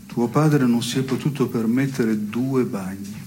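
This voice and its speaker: A man's voice: mid-range voice, mellow, natural voice. Fields: Sex: man. Role: actor as dry, probing stage-dialogue partner. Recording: noisy. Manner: deliberate, mock-formal, measured phrasing. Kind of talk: stage-play dialogue for radio.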